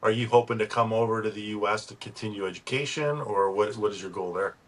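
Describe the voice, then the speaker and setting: A man with a fairly low voice hosts a podcast interview. He asks casual questions, often restarting and repeating words. His delivery is relaxed and conversational. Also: fast. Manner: stumbling, repeats words while asking. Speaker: podcast host.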